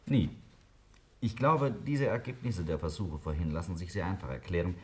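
An actor playing a confident lead scientist in a 1950s German radio drama, explaining things in a deliberate, didactic way. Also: male voice, low voice, natural voice. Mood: deliberate, assertive.

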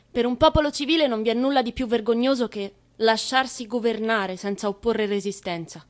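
A woman giving a solemn, deliberate dramatic narration, as in radio theatre. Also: medium pitch. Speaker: stage actress and narrator.

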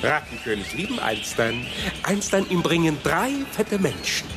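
Casting: treacherous talking rat, mid-pitched swooping delivery, gloating pidgin speech, over loud music in radio drama. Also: very animated, moderate pace. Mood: smug, gloating. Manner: broken childlike grammar, gloating. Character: sly treacherous talking rat deserting to his own kind. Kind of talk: German sci-fi radio play acting.

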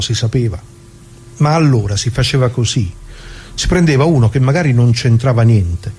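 A man acting out an old retired working man in a radio monologue. His voice is husky and mid-pitched. He rambles good-naturedly and colloquially, repeats himself, and grumbles with indignation straight at the listener. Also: darkish tone. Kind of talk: dramatic monologue in radio play.

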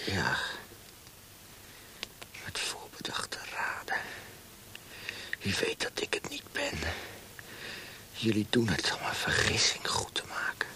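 A man playing a tortured prisoner in a radio play, frightened and desperate, with halting, pleading, anguished speech. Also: fairly low pitch, huge pitch swings, pushed and bright, whispered, exaggerated voice. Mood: frightened, hushed.

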